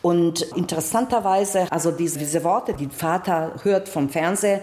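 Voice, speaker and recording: A woman's voice: on the low side, moderate pace, darkish tone. A middle-aged woman from the former Yugoslavia, speaking German as a second language as an interview guest, telling stories and often restarting sentences in search of words. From Yugoslavia, grew up in Priboj. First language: Serbo-Croatian. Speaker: interview guest, actress and novelist. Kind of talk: conversational interview. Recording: roomy echo.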